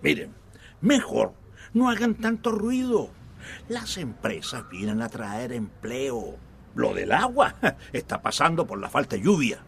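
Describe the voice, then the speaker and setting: Man acting a stubborn small-town dad in a radio play, creaky, animated, dismissive, bit of noise. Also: mid-range voice, unhurried, heavily acted. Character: skeptical middle-aged village father, resigned and stubborn.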